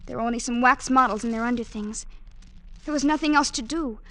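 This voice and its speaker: A woman's voice: on the high side, fairly quick, fairly bright tone, natural voice. An actress playing a dreamy, fearful young woman in love, in a vintage radio drama.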